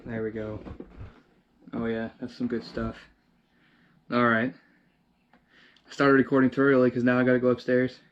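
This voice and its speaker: Male DIY vlogger, mid-pitched, informal how-to talk, thinking aloud mid-task, background noise. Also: monotone, moderate pace, pauses often, lo-fi sound. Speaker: DIY video host. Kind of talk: casual how-to narration while working. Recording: clear background noise with handling clicks.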